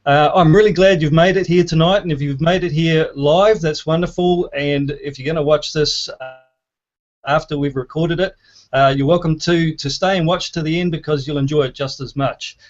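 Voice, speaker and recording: A man hosts an online event with eager enthusiasm. He often pauses on "uh" while he talks. His voice sits a bit high, and the dull, lo-fi sound comes from a video call. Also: fairly even intonation. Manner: enthusiastic, filler-heavy. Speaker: host.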